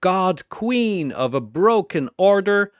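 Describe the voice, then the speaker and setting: Man voicing a grandiose arena master, high and loud. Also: sing-song, smooth, no room sound, studio quality, heavily acted. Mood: booming, proclaiming. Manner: grandiose, drawn-out, proclaiming. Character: bombastic theatrical four-armed arena master, flamboyant showman. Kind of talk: fight announcer introductions.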